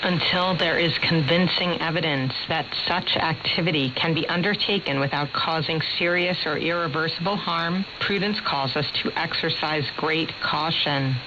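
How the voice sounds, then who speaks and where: A woman delivering a scripted radio news report as a reporter. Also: deep voice. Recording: muffled shortwave radio with clear static.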